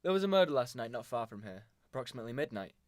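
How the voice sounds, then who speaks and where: A man's voice: mid-range voice, very animated, rapid-fire, no room sound, natural voice. A man playing a brusque, suspicious police inspector in an amateur radio drama, businesslike and probing. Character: brusque, suspicious male police inspector questioning circus performers. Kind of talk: amateur 1920s-set radio drama acting.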